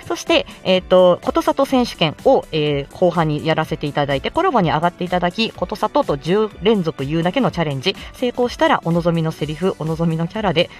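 A woman's voice: on the low side, very animated, fast, few pauses, faint music behind. A woman hosting a casual solo audio show, giggly, self-deprecating and rambling through her announcements. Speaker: host of a morning audio show.

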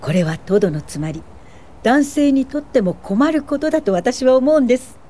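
An actress playing an earnest, polite young woman activist in a Japanese radio drama, speaking gently. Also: high voice, animated, normal speed, slight background noise. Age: young adult.

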